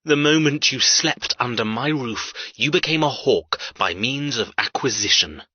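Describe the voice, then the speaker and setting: A man playing a cold, domineering older patriarch in an audiobook, raspy, with clipped, formal, commanding speech. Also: medium pitch, animated, a little slow, bright, forceful tone, no room sound, muffled recording, heavily acted. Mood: cold contempt. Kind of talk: dramatic audiobook character dialogue.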